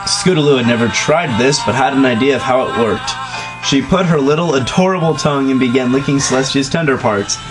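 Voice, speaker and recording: A man's voice: mid-range voice, fairly quick, rough recording. Male reader for comedic dramatic reading, narration with sardonic side remarks, music underneath. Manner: mocking, sarcastic asides. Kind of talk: story reading with joking asides.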